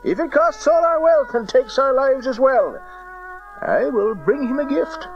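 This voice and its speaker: A man's voice: very high voice, animated, unhurried, exaggerated voice. A man acting a fervent, stately wise king of the East, declaiming in a dramatized audio Bible. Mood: fervent, resolute. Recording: dull lo-fi cassette, loud music.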